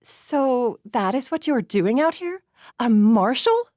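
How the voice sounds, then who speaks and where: A woman's voice: on the high side, sing-song, a little mellow, no room sound, clean studio sound, pitched above the performer's own voice. Woman voicing a sweet, refined society girl. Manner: sweet, deliberate, cultured speech. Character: poised young society woman, refined and gracious.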